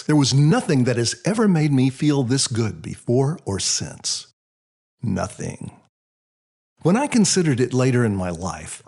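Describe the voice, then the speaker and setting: A man reading his own first-person story as a scripted audiobook, in an expressive, reflective storytelling manner. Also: medium pitch, husky.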